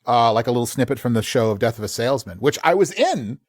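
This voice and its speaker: A man in casual interview talk, a working voice actor telling stories about his job. He speaks at a middle pitch, animated, and drops into quick put-on voices as he goes. The sound is dry and close to the mic. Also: dry recording. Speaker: voice actor guest.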